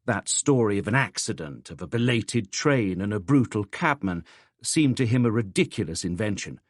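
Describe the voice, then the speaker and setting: A man's voice: on the low side, lively intonation, normal speed, dry recording, studio quality. A man reading a literary novel as an audiobook narrator.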